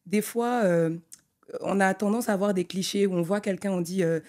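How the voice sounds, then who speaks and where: A young adult woman who grew up in Togo, an architect talking through her career as a podcast guest, thoughtful and full of pauses and restarts. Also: medium pitch, warm tone. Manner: thoughtful, many fillers and restarts. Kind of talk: conversational interview.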